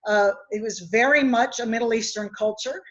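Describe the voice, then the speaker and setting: Woman, coach and webinar guest, earnest, illustrates with stories; slightly high voice, muffled call audio. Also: normal speed, a bit bright. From West Virginia, USA. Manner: earnest, explains with anecdotes. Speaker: guest speaker, executive coach. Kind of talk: webinar discussion.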